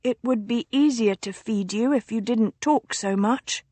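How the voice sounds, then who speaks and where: A tired, gentle young woman speaks softly and slowly at a sickbed, as a woman narrator voices her. Her voice sits in the middle and is read close and dry, as in an audiobook. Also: on the high side, dry recording. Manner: gentle, soft-spoken. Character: tired young woman caring for a sick duke.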